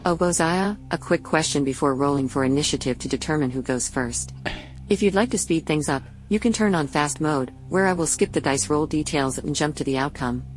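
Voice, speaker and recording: A woman's voice: low voice, a little fast, a little hiss or hum, poor audio. A woman acting as a game system announcer, reading scripted prompts and options.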